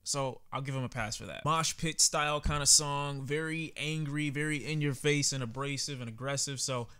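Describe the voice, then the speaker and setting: A man's voice: on the high side, normal speed, pushed and bright, smooth. A man reviewing an album in a casual, candid way, talking conversationally with frequent filler words. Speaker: music reviewer. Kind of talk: casual album reaction commentary.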